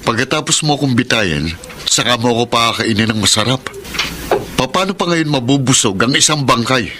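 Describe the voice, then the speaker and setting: A man's voice: medium pitch, lively intonation, fairly quick, noisy background, natural voice. A Filipino actor playing a betrayed, bitter young man in a melodramatic radio drama. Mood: sarcastic. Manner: emphatic, accusing, melodramatic.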